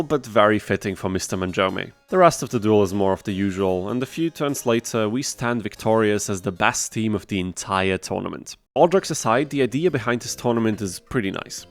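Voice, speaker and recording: A man with a medium-pitched voice narrates gameplay from a script. He is wry and sarcastic, in the manner of a gaming YouTuber. Faint music plays behind him. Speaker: video game YouTuber.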